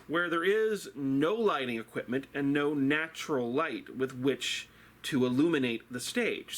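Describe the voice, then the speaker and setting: Male theatre teacher, slightly higher-pitched voice, unhurried and theatrical, delivering a dramatic lecture like a storyteller. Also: fairly bright tone. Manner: theatrical, deliberate, rhetorical questions.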